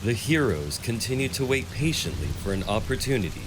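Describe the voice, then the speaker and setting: Animated male story narrator. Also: medium pitch, fairly quick, background noise. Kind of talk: dramatic scripted story narration. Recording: music under the voice.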